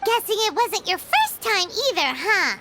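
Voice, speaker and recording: A woman's voice: very high voice, sing-song, moderate pace, noisy background. A woman voicing a tiny, bratty female companion in a game cutscene, snarky and teasing.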